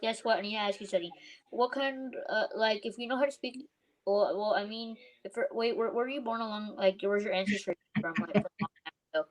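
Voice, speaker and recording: A woman's voice: medium pitch, quick pace, low-quality recording. A woman chatting on a video call, eagerly asking questions and often restarting her sentences. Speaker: chat participant. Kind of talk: casual video chat.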